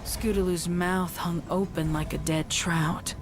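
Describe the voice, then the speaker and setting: Female story narrator, lower pitch, dramatic scripted reading, prominent music underneath. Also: steady tone, measured pace. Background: loud music.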